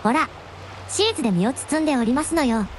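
A gentle young dragon princess with a high voice, played by a woman in a radio drama. She speaks in refined, devoted, very courteous phrases. Some noise sits behind the voice. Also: expressive, fairly quick. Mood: reassuring. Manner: refined, deferential, ladylike honorific speech. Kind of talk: Japanese radio drama acting.

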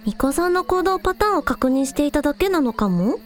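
A frank, caring village idol girl voiced by a woman talks in a very high voice. The delivery is energetic, anime-like radio drama. Faint music sits behind it. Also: quick pace. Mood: concerned.

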